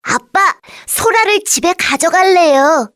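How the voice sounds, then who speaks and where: A woman voicing a cheerful, curious little boy in a children's storybook, in an excitable childlike manner. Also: very high-pitched, takes it slowly, no echo, studio quality, exaggerated voice. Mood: excited. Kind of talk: children's storybook character acting.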